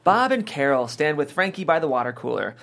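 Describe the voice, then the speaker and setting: A man's voice: on the high side, big pitch swings, a little fast, vocal fry, a bit bright. A man narrating scene headings and stage directions at a script table read.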